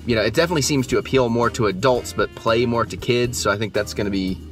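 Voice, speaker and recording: A man's voice: medium pitch, fast talker, music in the background. A young man giving a casual movie review just after the screening, rambling and hesitant with many fillers and restarts. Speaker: movie reviewer, channel host. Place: just back from the theater, at home.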